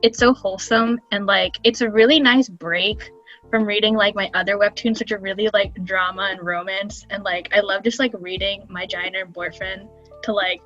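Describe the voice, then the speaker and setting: A woman's voice: mid-range voice, steady tone, moderate pace, a bit bright, lo-fi sound, faint music behind. A woman co-hosting a casual podcast chat, gushing and enthusiastic, leaning heavily on "like" as she talks. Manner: gushing, enthusiastic, heavy use of "like". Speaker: podcast co-host.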